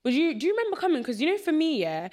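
A young woman in her twenties from Nigeria, hosting a podcast in casual, chatty conversation with a friend. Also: high-pitched. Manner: quick, chatty, animated storytelling with slang. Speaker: podcast host. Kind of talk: casual chat.

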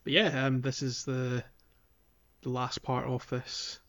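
A man hosting a podcast, casually guiding listeners through a video game in a halting, think-aloud way. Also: medium pitch, completely flat delivery, fairly bright tone. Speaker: podcast host.

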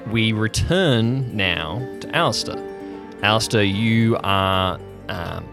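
Deep, gravelly male narrator over music. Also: expressive. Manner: deliberate, pausing for words. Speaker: narrator and game master. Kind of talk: improvised dramatic scene-setting. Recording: music under the voice.

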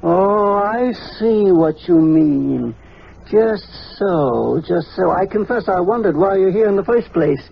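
An actor playing an eccentric, irascible older scientist in an old-time radio drama, pompous and pedantic. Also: male voice, high-pitched, lively intonation, slow, creaky, slightly rough, exaggerated voice. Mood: scornful. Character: eccentric, irascible older male scientist, self-important inventor. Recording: muffled, noisy vintage radio recording.

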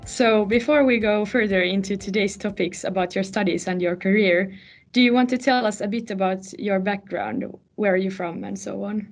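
Husky, creaky-voiced woman hosting a podcast. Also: mid-range voice, fairly even intonation, normal speed, soft background music. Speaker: podcast host interviewing guests. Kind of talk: podcast interview.

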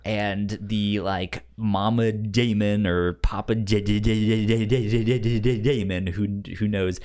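A man co-hosting a podcast, talking casually about a film in a halting way, often repeating syllables as he searches for words. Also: on the low side, fairly even intonation, darkish tone. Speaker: podcast co-host.

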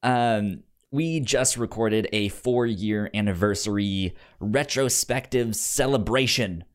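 A man's voice: fairly low pitch, slow pace. A man hosting a podcast in casual, informal chat, repeating words for emphasis. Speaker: podcast host.

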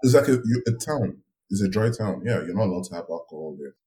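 Low voiced dude chatting, laid back storytelling, laughs a lot. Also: male voice, animated, fast, mellow. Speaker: podcast co-host. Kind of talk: casual chat.

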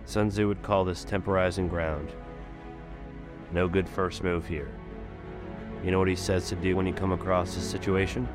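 An actor playing a stern, measured spaceship commander in an audio drama, with a dry, clipped, authoritative manner. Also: male voice, sits low, flat delivery, over music, natural voice. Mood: deliberate, wary.

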